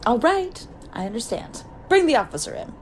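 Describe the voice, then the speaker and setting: A woman's voice: on the high side, sing-song, normal speed. A woman playing a prim, defiant train passenger in an audio play, haughty and formal, full of indignant exclamation. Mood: defiant, accusing. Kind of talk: amateur audio play acting. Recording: faintly noisy home-device recording.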